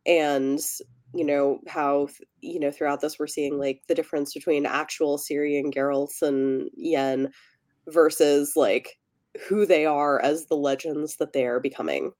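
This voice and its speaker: A woman with a slightly low voice talks as a podcast co-host in a relaxed book discussion. She sounds conversational and a little tentative, wandering through her thoughts as she goes. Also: measured pace.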